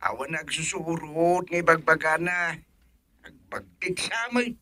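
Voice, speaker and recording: A man's voice: on the high side, a little slow, pushed and bright, dry recording. A man playing a harried husband in a radio drama, explaining an emergency insistently. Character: harried husband phoning home about an emergency.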